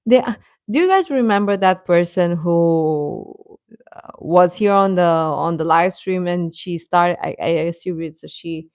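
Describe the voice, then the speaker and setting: A woman chatting casually as host of a live stream, rambling and halting, with a sarcastic streak. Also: lowish voice, darkish tone, no room sound, clean studio sound. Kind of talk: casual live-stream chat about pop fandom.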